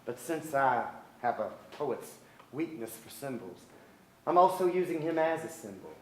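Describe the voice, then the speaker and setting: An actor playing a wry, poetic man narrating his family's story in a theatrical stage monologue with deliberate pauses. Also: medium pitch, sing-song, darkish tone, close to an everyday voice. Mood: wry, wistful. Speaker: actor in a staged play reading. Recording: echoey theater stage, noticeable noise.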